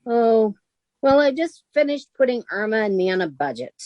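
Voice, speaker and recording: A woman playing a practical, self-assured young roommate in a 1940s-style radio comedy, brisk and a little bossy. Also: fairly high pitch, very animated, no echo, highs cut off. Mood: sociable.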